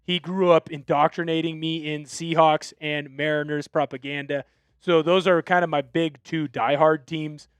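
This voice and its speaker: A man's voice: on the high side, little pitch movement, normal speed, clear, resonant tone, dry recording. A young man from Washington State hosting a live football talk stream, opinionated and fired up as he reads out viewer texts. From Washington State, USA. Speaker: sports show host.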